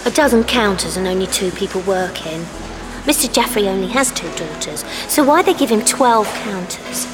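A woman's voice: mid-range voice, lively intonation, normal speed, over music, close to an everyday voice. A middle-aged woman playing a chatty, fussing working-class mum in an audio drama, gossipy and breezy. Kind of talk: audio drama monologue acting.

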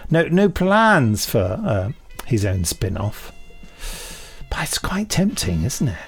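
A man's voice: medium pitch, very animated, slow pace, faint music behind. A British man in casual podcast chat, jokey and hesitant, rambling through his answers. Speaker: podcast host.

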